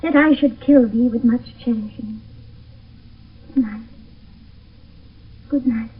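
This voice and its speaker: Breathy high-voiced lovestruck young British maiden. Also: female voice, takes it slowly. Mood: tender reluctance. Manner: theatrical, fervent verse delivery. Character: Juliet, lovestruck young maiden, ardent and anxious. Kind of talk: 1970s classical radio drama verse acting. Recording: old lo-fi phone-like radio broadcast, distant.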